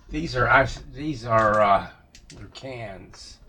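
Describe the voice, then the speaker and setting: Slow, husky-voiced man musing aloud. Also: medium pitch, pushed and bright. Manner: musing aloud, halting, talking to himself and viewers. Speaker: vintage radio restorer showing a repair. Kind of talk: casual unscripted workbench commentary.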